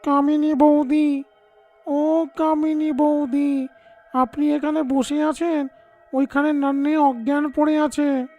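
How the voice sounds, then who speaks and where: A simple-minded, inquisitive village man voiced by a woman in a cartoon tale. The voice is high and mellow with a drawl, over faint music. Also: level tone, slow pace, pauses often. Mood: calling out.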